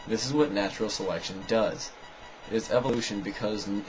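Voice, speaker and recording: A man's voice: on the low side, poor audio. A man giving a scripted, argumentative rebuttal commentary in a sarcastic, dismissive way. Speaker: video narrator rebutting another video.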